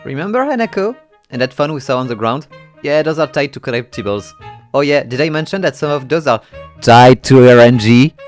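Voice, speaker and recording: A man's voice: mid-range voice, expressive, a little fast, faint music behind. A man narrating a horror game playthrough from a script, moving between dramatic storytelling and wry, exasperated asides. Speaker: video game reviewer and narrator.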